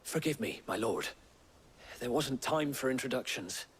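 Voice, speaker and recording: A man playing a loyal guardsman in a video game, formal and respectful, with a husky voice. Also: medium pitch, lively intonation, a little slow. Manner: formal, deferential to his lord. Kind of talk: dramatic cutscene acting.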